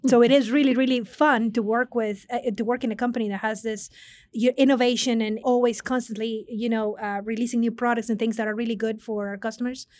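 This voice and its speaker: A woman's voice: on the high side, fairly even intonation, a little fast, darkish tone, dry recording. A middle-aged woman from Mexico, a guest executive talking earnestly in an interview and often restarting her words. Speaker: guest, business consulting executive. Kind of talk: conversational interview.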